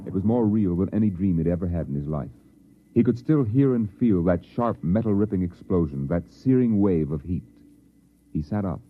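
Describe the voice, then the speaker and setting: A man's voice: deep voice, animated, normal speed, slight background noise. A man reading scripted narration for a 1950s radio drama. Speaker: story narrator. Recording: muffled, narrow vintage radio recording.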